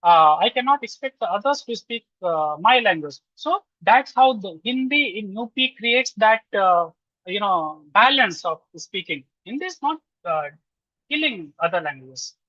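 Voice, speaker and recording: A man from Lucknow with an Indian English accent, answering questions as a guest researcher with many pauses and restarts. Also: sits high, lively intonation, dry recording. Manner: frequent "uh" pauses and restarts, reasoning out loud. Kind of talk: webinar answers, hesitant and filler-heavy. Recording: dull, dry video call audio.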